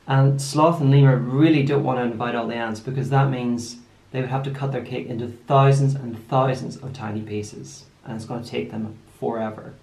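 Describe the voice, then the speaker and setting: A young man speaks to children as an author presenting a trivia question. His mid-pitched voice has a gravelly creak. The room adds clear echo around him. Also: fairly even intonation, normal speed, darkish tone. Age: young adult. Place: indoor room, seated at a desk. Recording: roomy indoor echo.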